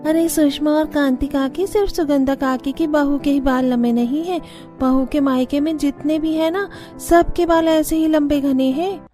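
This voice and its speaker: High-pitched chatty village gossip played by a woman. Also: steady tone, moderate pace, mellow, soft background music, lightly acted. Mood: chatty, knowing. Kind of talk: cartoon story dialogue.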